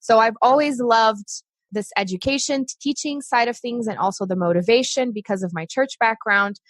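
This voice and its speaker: A woman's voice: fairly high pitch, moderate pace, no echo. Brazil-born woman, chatty earnest coach on a podcast, really dry mic. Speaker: podcast guest, success coach for moms. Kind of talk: casual interview chat.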